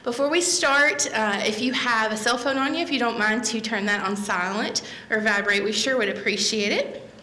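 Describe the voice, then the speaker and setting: A woman in her thirties gives a friendly welcome from a podium mic, her voice bright and a touch high. The library room rings with echo, and a low rumble sits underneath. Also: moderate pace. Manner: friendly, reads the introduction with fillers. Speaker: library manager introducing a speaker. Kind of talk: welcome and speaker introduction. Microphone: podium microphone. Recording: echoey room.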